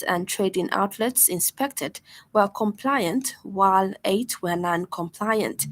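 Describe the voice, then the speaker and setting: A woman's voice: medium pitch, slight background noise. A woman with a Zambian accent reading a scripted news bulletin as a radio presenter in a studio.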